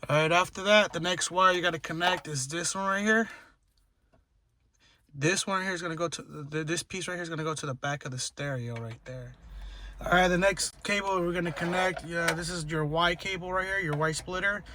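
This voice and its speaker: A man giving an unscripted hands-on how-to, explaining step by step in a halting, repetitive way as a tutorial presenter. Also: fairly high pitch, sharp tone. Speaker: DIY car tutorial presenter. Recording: noisy amateur recording with clicking.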